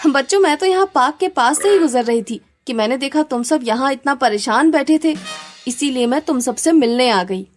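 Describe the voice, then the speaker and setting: A woman's voice: high voice, expressive, normal speed, faint music behind. A woman voicing a kind but firm schoolteacher who explains things to children in a cartoon story. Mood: concerned.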